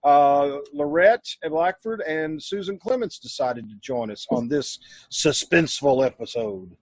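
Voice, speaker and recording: Husky-voiced man hosting, close dry sound. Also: on the high side, measured pace, a little mellow, dry recording, low-quality recording. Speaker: host. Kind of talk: casual show introduction. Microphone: video call.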